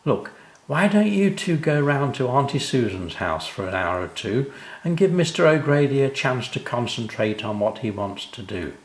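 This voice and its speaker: A man's voice: medium pitch, big pitch swings, moderate pace, roomy sound, voice pushed up. A man voicing an anxious, practical mother of two in a children's story. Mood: brisk, coaxing.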